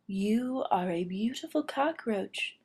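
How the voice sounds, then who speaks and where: A woman voicing a shrewd old cockroach grandmother in a storybook, giving advice in a measured, knowing way. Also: mid-range voice, expressive, a little mellow, breathy edge, heavily acted. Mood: assured.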